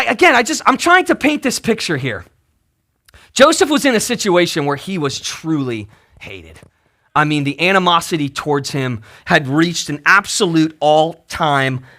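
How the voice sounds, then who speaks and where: Male preacher, very high voice, lively melodic swings, casual and emphatic storytelling style. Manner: emphatic, colloquial storytelling with frequent "right?" check-ins. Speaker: pastor preaching. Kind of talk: casual, conversational sermon.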